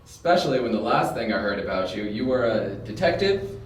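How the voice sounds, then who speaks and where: A man's voice: fairly low pitch, big pitch swings, fairly quick, slight background noise. A man acting a teasing but helpful older brother in an amateur audio drama, with a drawling delivery. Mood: teasing surprise. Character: nosy older brother, teasing yet helpful, named Mike. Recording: very echoey room, distant mic.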